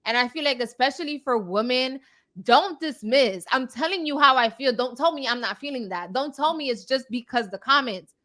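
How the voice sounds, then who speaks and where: Bright, higher-pitched woman podcast co-host. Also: normal speed. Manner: emphatic, repeats points for stress. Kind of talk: casual opinionated entertainment commentary.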